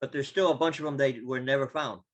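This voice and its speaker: A man's voice: mid-range voice, fairly even intonation, quick pace. A man, a club member, explaining something casually from his own experience over a video call.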